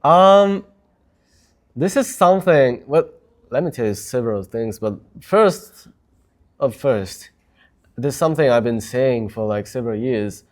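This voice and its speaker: A man's voice: medium pitch, animated, unhurried, pauses often. Enthusiastic man with a Japanese accent. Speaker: guest. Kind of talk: casual chat.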